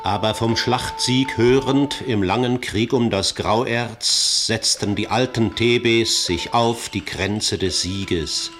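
An actor speaking as the chorus narrator, reciting classical verse in a solemn, deliberate way. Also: male voice, fairly low pitch. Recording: music behind. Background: music.

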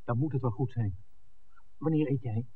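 A man's voice: mid-range voice, normal speed, slightly rough, natural voice. An actor playing an eager, impulsive, ardent man in a 1960s radio drama, speaking earnestly and directly. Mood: earnest, smitten. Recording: narrow, noisy old radio recording.

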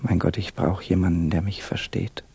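An actor playing a tormented, anxious young Russian student in a 1960s German radio play, brooding and questioning himself in a husky, creaky voice. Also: male voice, low-pitched, flat delivery, moderate pace, mellow, exaggerated voice. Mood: agonized brooding. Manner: brooding self-questioning, bursting into outcries.

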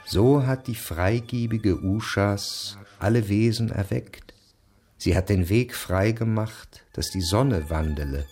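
A man solemnly reciting poetic sacred hymns in a radio play, with measured delivery. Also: on the low side, a little mellow, faint music behind.

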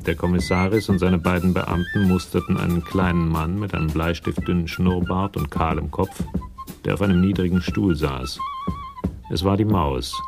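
A man narrating a radio crime drama in a measured, matter-of-fact scripted style. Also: low voice, steady tone, vocal fry, over music.

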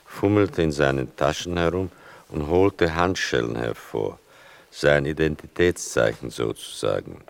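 Very deep, creaky-voiced male narrator. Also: no echo. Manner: measured, wry, deliberate. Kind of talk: literary scripted narration.

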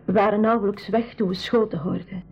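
A woman's voice: medium pitch, steady tone, fairly quick, soft background music, natural voice. Shy, frightened teenage refugee girl, acted by a woman. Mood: frightened, subdued. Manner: hesitant, sparing with words. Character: shy, frightened seventeen-year-old refugee village girl. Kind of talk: 1980s school radio play acting. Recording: dull lo-fi 1980s radio recording.

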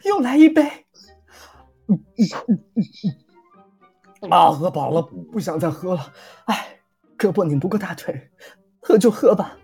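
A woman's voice: fairly low pitch, big pitch swings, moderate pace, warm tone, breathy edge, soft background music, heavily acted. A woman voicing a flustered, indignant little game character in an audio drama, exclaiming and muttering complaints. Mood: grudging.

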